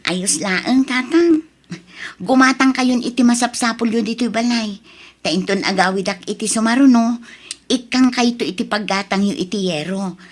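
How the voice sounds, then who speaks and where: A woman's voice: a little high, normal speed, close to an everyday voice. A woman playing an emotional young wife. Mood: dutiful. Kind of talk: radio drama acting.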